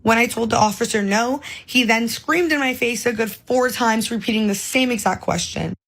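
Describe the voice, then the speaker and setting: Woman from the U.S., indignant first-person account, slightly higher voice. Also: normal speed, fairly bright tone, low-quality recording. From United States. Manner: indignant, detailed recounting. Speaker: traveler recounting detention.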